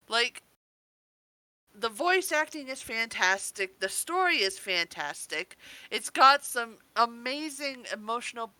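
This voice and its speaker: Bright, excitable woman reviewer. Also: a little high, dry recording, poor audio. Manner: gushing, excitable, swears freely. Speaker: podcast reviewer. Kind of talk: casual review chat.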